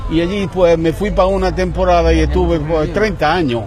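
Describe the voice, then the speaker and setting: A man's voice: fairly high pitch, steady tone, moderate pace, a little mellow. An older man from Spain, a returned emigrant, telling rambling, indignant stories in casual street chat outdoors.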